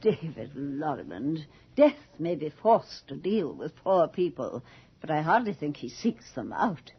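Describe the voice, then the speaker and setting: Imperious aging noblewoman, husky and low, grandly bored, muffled radio drama sound. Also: big pitch swings, unhurried, full character voice. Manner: haughty, grand, drawling. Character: imperious, jaded old aristocratic society hostess.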